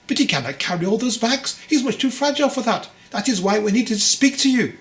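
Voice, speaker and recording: An overprotective, distraught mother from a storybook, acted out by a male reader. The voice is pushed up high and shrill. She scolds, pleads and demands. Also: animated, brisk. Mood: tearful protest. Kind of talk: audiobook character voice.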